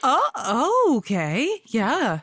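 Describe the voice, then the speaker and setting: A woman's voice: sits high, huge pitch swings, drawn-out words, fairly bright tone, no echo, clean studio sound, full character voice. A woman playing a playful, lusty late-night radio call-in host in a comedy audio drama, flirty and theatrical. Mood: amused, supportive.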